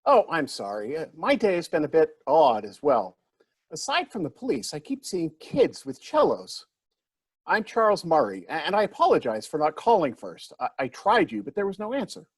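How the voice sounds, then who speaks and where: A man's voice: a little high, huge pitch swings, moderate pace, husky, exaggerated voice. A man acting in a play reading as a polite, apologetic investor, formal and slightly bumbling. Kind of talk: virtual stage play reading.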